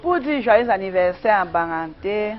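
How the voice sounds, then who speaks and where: A woman's voice: medium pitch, expressive, normal speed. A woman from western Cameroon with a Cameroonian accent, giving a greeting in a street interview. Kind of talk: vox pop greeting to camera. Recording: dull lo-fi street audio.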